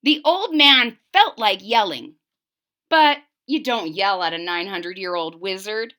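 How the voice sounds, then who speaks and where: A woman's voice: medium pitch, unhurried. A woman reading a children's story aloud expressively and dramatically, with asides to her listeners. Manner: animated, dramatic, questioning listeners. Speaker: storybook reader.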